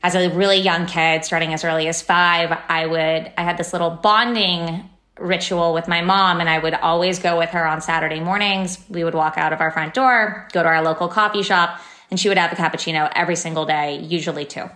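A woman's voice: fairly low pitch, steady tone, fairly quick, pushed and bright. A woman in her thirties from San Francisco, a company founder telling her story in a podcast interview in an upbeat, chatty way. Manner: upbeat, chatty, many fillers. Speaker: startup founder and guest. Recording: echoey room.

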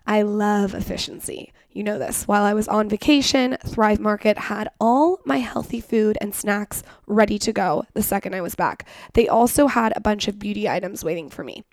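Slightly hoarse woman co-hosting, dry close sound. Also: mid-range voice, dry recording. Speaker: podcast co-host. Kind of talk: casual chat and personal ad reads.

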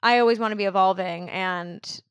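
A woman's voice: medium pitch, normal speed, clear, resonant tone, no echo, studio quality. A woman in her twenties talking as a podcast guest, chatty and casual, filling her speech with "like". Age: 20s. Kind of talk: casual conversational interview.

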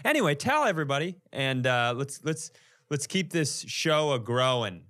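Animated, high-pitched man ranting on a podcast. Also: moderate pace. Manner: exasperated, blunt ranting, swearing. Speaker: podcast co-host. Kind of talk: casual comedic storytelling.

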